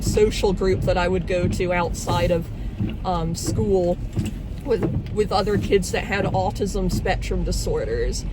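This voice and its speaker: A young woman from Scotland telling her own story in a casual vlog, hesitant, with many "um"s and restarts, speaking into a phone while in a car in traffic. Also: mid-range voice. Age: young adult. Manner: hesitant, frequent "um" and "basically", self-correcting. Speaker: vlogger. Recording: loud road and traffic noise in a car. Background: vehicle and traffic noise.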